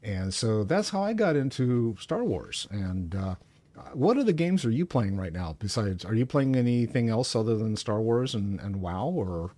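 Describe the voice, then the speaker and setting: Older man with a lowish voice, chatting. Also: very animated, moderate pace, mellow. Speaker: game streamer, host. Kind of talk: casual chat about gaming.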